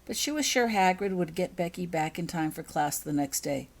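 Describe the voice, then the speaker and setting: A woman's voice: on the low side, animated, moderate pace. A woman author reading her own story aloud as scripted audiobook narration.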